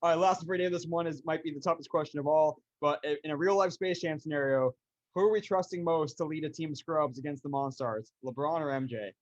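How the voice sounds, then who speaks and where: An American man hosts a podcast interview in a casual, conversational style. He talks quickly in a somewhat high male voice. The recording is dry and a little dull. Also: no room sound. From San Diego, United States.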